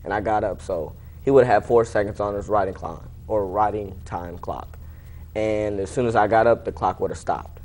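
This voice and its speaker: Young american guy, low voice, laconic casual interview answers, plain spoken. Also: normal speed, a little mellow. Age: late teens. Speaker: interview guest, high school wrestler.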